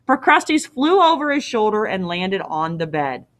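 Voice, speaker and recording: A woman's voice: mid-range voice, very animated, measured pace. A woman reading a story aloud as a teacher, expressively, with casual explanatory asides.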